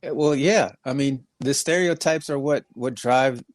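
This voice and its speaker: A man's voice: mid-range voice, a little slow, no echo, studio quality. A man who is a guest on a podcast, talking in an emphatic, explanatory way in casual discussion.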